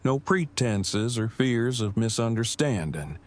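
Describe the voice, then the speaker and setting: A man playing an awkward, bookish groom in an audio drama, sincere, with stiff, measured, wordy phrasing. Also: mid-range voice, lively intonation, lightly acted. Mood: tender, sincere. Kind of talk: fan audio drama acting.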